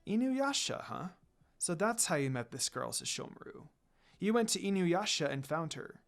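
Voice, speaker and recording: A stately demon noblewoman from a storybook tale, read by a man with a slightly high voice. She is a formal, questioning mother with a softer side. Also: sing-song, normal speed, vocal fry, heavily acted. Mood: resigned, musing. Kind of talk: storybook character reading.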